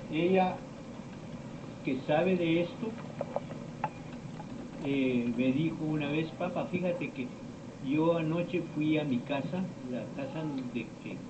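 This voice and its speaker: Slow-talking man lecturing in echoey room. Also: on the high side. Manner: slow, rambling storytelling with many pauses and quoted dialogue. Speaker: teacher of esoteric topics. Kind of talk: informal lecture to students. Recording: echoey room, distant mic, dull lo-fi sound, noisy.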